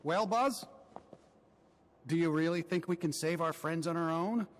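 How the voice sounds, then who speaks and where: A man's voice: on the high side, a little slow, close to an everyday voice. A man playing a friendly, loyal cowboy toy sheriff in a video game, earnest, emphatic and persuasive. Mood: persuading. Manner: pleading, emphatic. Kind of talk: animated video game dialogue. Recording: long hall reverb.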